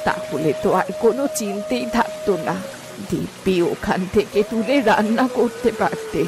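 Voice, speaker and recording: A woman voicing an elderly village grandmother, doting but sharp-tongued, in a cartoon with music behind. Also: medium pitch, normal speed, lightly acted. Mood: scolding. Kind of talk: cartoon dialogue.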